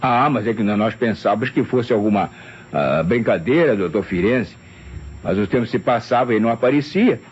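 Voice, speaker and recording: A man's voice: fairly low pitch, moderate pace, breathy edge. An actor playing a flustered, deferential private secretary, hesitant and stammering as he testifies in an old radio mystery. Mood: nervous. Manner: hesitant, stammering, tag questions, animated storytelling. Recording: dull, noisy old radio drama recording.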